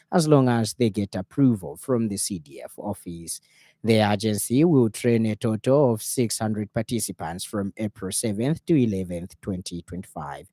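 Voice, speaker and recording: Mellow-voiced Zambian male newsreader. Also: on the low side, no room sound, clean studio sound. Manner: formal, measured newsreader delivery. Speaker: radio news presenter. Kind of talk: scripted evening news bulletin reading. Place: radio studio.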